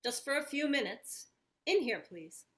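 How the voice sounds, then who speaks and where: A composed nurse played by a woman in a 1940s radio drama, politely insistent. The voice is fairly high, and each phrase is slow and deliberate. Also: expressive, a bit bright. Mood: polite, businesslike. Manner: measured, deliberate.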